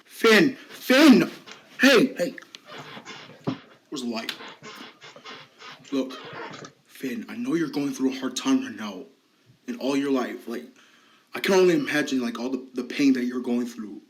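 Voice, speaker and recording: A man playing a gentle friend who speaks softly and soothingly, hesitating with small fillers. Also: mid-range voice, big pitch swings, a little slow, pauses often, rough recording. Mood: consoling. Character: gentle supportive male friend. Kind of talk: amateur drama acting.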